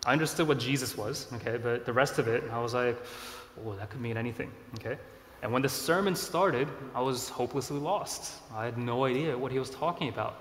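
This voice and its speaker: A man in his thirties, originally from Korea, preaching a sermon in a relaxed, conversational way with self-deprecating asides. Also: mid-range voice, fairly quick, a little hiss or hum. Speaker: pastor. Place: church sanctuary. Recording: echoey church hall.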